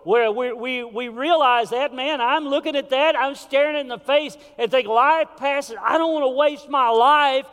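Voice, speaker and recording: A high-voiced man delivers a sermon from a church pulpit, his voice ringing out with a long hall reverb. He preaches with fervor, then drops suddenly to a near-hush. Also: normal speed, hardly any pauses, smooth. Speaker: pastor. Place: church sanctuary.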